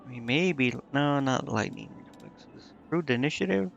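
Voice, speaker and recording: A man's voice: medium pitch, animated, moderate pace, vocal fry, muffled recording. A man streaming a game, muttering indecisively to himself in casual commentary as he thinks aloud. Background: game music.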